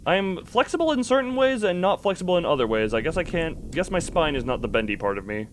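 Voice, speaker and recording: A man's voice: a little high, big pitch swings, fast talker, a little hiss or hum. A man hosting a game livestream, chatting casually and rambling as he thinks aloud while playing. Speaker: game streamer, host. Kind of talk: casual livestream chat with gameplay commentary.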